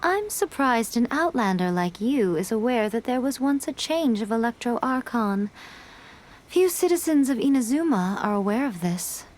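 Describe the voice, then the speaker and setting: A woman's voice: on the high side, expressive, moderate pace, darkish tone, a little hiss or hum, close to an everyday voice. A woman voicing a sly, playful fox-spirit woman in a game, with a poised, teasing way of speaking. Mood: calm. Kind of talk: game dialogue.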